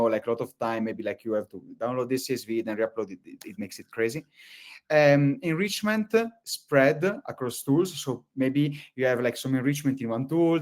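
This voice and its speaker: A man's voice: mid-range voice, a little mellow. Italian-accented man, webinar presenter, video call, rambling, lots of fillers and restarts. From Italy.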